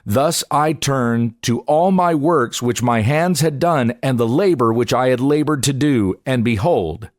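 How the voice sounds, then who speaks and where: A man reads scripture aloud like a pastor narrating an audiobook, in a measured, solemn, deliberate way. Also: medium pitch, no room sound, studio quality.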